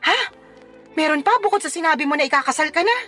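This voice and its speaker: High-voiced feisty Filipina mother. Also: expressive, fast talker, pushed and bright, faint music behind. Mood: fond, emphatic. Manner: blunt, playful scolding, emphatic. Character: feisty, teasing older Filipina mother and grandmother. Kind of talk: Tagalog radio drama acting.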